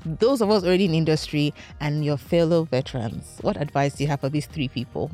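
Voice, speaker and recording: A woman interviewing a guest, echoing her words back with quick, respectful replies. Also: low-pitched, dark tone, faint music behind. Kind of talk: conversational interview.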